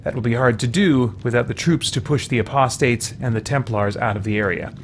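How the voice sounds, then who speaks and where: A man's voice: medium pitch, a little fast, a little hiss or hum. A man hosting a let's play, thinking aloud and reading game text as he goes.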